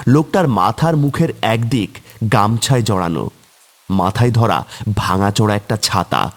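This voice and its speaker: A man narrating a scripted horror tale as a storyteller for a cartoon. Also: mid-range voice.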